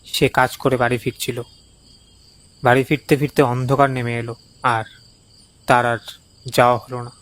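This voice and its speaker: A man's voice: mid-range voice, level tone, normal speed, pauses often, fairly bright tone, natural voice. A man playing an anxious, protective young villager who warns his friend urgently and insistently in a cartoon ghost story. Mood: anxious warning.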